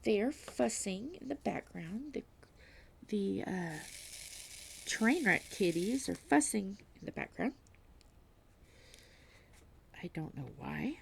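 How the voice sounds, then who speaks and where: A woman vlogging at home while diamond painting, reading aloud and chatting in a self-deprecating way, with a slightly stuffy nose and frequent soft whispers. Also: medium pitch, big pitch swings, takes it slowly, vocal fry, a little hiss or hum. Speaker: crafting vlogger.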